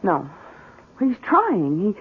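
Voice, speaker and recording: An actress playing a polite, worried wife in a 1970s radio drama, gentle and hesitant. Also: female voice, mid-range voice, huge pitch swings, very slow, husky, full character voice. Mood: worried, uneasy. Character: polite, worried, uneasy wife of a moody painter. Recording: muffled, tinny 1970s radio broadcast.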